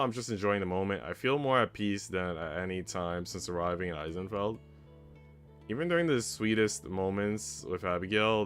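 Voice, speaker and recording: A man's voice: fairly low pitch, a bit bright, clear, resonant tone. A man streaming a visual novel, mixing slangy, casual reactions with reading the narration aloud. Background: game music.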